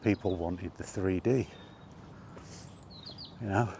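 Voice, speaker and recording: A middle-aged man in a casual, rambling vlog chat, speaking conversationally with halting pauses while out walking. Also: sits low, vocal fry, mellow. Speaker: gaming vlogger. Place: outdoors, walking. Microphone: microphone with wind guard. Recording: windy outdoor recording, background noise.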